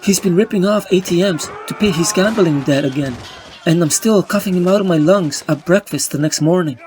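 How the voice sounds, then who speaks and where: A man acts out a young male superhero narrating a motion comic. His voice sits high for a man and he sounds weary and wry, brooding over his troubles. There is faint noise behind him. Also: brisk, a little mellow, natural voice. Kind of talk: scripted comic-book narration and dialogue.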